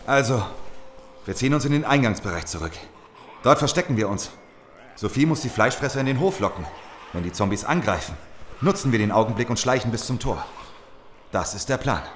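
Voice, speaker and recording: A man's voice: mid-range voice, animated, fairly quick, pauses often, a little hiss or hum, close to an everyday voice. An actor playing a determined, distrustful survivor who plans for his group in an audio drama. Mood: commanding. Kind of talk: German audio drama acting.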